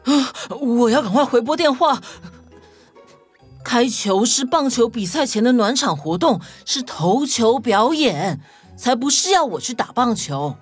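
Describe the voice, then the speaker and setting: A fairly high, animated voice from a woman acting a sensible little-story detective for children's audio. The delivery is dry and grumbling, with big swings in intonation. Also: measured pace, breathy edge, soft background music. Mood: astonished, eager.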